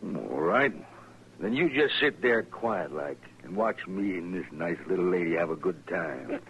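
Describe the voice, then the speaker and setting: A man's voice: deep voice, animated, unhurried, background noise. An actor playing a menacing, big-talking Old West gunman in an old radio drama, taunting with mock politeness. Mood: menacing, mock-polite. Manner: mock-polite, taunting, folksy drawl-like phrasing. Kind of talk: old-time western radio drama acting. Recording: dull old radio recording, crowd murmur.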